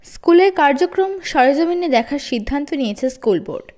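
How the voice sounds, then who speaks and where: Serious storybook dad, read by a woman in a high voice, polite, measured, fair. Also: animated, a little mellow. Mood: polite, formal. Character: grave, calm, fair-minded father on a school board.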